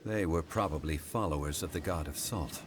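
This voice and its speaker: An actor playing a composed, courteous and knowing man of ancient bearing in a video game, speaking in a formal, measured way. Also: very deep voice, noisy background. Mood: calm, explanatory.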